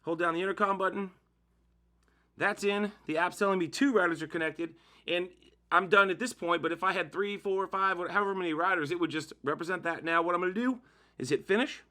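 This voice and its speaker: A man's voice: sits high, fairly quick, sharp tone. A man narrating a casual how-to demonstration, explaining informally step by step as the host of a tutorial.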